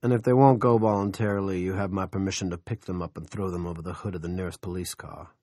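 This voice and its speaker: A male narrator voicing a smug, menacing town boss who talks slowly and gloats. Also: deep voice, steady tone, warm tone, dry recording, clean studio sound. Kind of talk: audiobook character reading.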